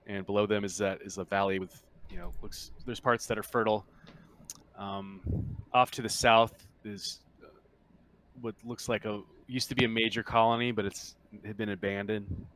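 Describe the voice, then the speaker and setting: A man improvising narration as the game master of a tabletop roleplaying game, haltingly searching for words. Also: fairly low pitch, fairly even intonation, a bit bright.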